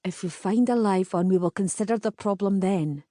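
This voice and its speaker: A woman voicing a bold, steady young Scottish woman with a firm, commanding manner, in a Scottish accent. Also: mid-range voice, moderate pace, dry recording, studio quality, close to an everyday voice. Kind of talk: audiobook character voice.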